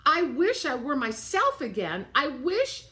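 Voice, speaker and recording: A woman's voice: high-pitched, wildly swooping pitch, a little slow, sharp tone, voice pushed up, exaggerated voice. A woman voicing an earnest, yearning young boy donkey in a storybook, eager and emphatic. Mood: earnest wishing.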